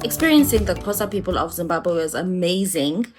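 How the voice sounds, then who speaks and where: A woman, an isiXhosa speaker, speaking as a travel vlogger in a thoughtful, measured way. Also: fairly low pitch, animated, soft background music. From South Africa. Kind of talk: reflective personal account.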